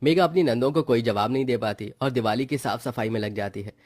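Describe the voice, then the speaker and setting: A man's voice: medium pitch, fast, a little mellow, no echo. A man narrating a scripted story as the storyteller.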